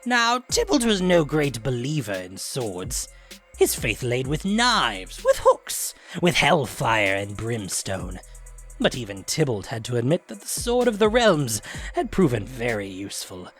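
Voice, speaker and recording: A man voicing a gleefully wicked trickster devil who narrates a fantasy saga grandly and slyly, savoring words with dramatic pauses. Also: medium pitch, huge pitch swings, fairly bright tone, soft background music. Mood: sly, mock-sympathetic.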